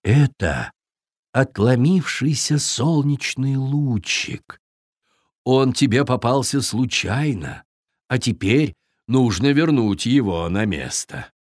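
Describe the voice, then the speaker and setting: A good-natured storybook Viking, read by a man, admonishes calmly and firmly. The sound is dry and close. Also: mid-range voice, slow, dry recording. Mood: firm, kindly. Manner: calm, admonishing. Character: good-natured but firm male Viking.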